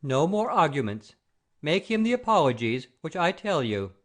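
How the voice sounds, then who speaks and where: A man's voice: fairly high pitch, sing-song, moderate pace. A man playing an imperious, pompous father-in-law obsessed with honour and rank in a classic comedy audio play. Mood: bossy, insistent. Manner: commanding, pompous, formal.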